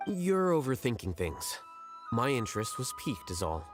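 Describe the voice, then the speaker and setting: A man playing an aloof, dry-witted scholar of high standing in a video game, curt and deadpan. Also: normal speed, music in the background, lightly acted.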